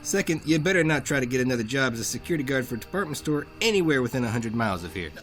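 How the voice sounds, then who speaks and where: Man as hard-nosed boss, rapid delivery, brusque, authoritative, faint music. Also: mid-range voice, very animated, a bit bright. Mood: stern, dismissive. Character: curt, hard-nosed male department store boss. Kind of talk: audio drama acting.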